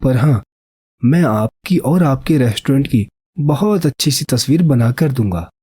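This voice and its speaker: A man plays a poor young village painter in a cartoon tale, earnest and devoted. His voice is mellow and a little husky at a middle pitch, recorded dry. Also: animated, unhurried, dry recording. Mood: humble request.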